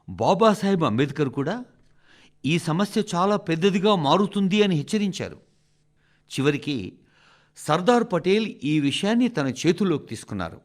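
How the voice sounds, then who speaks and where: A man delivers a formal scripted address for radio. His voice sits a little high and moves widely in pitch. He speaks deliberately, setting out short phrases in a measured oratorical style. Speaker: reader of a translated government radio address.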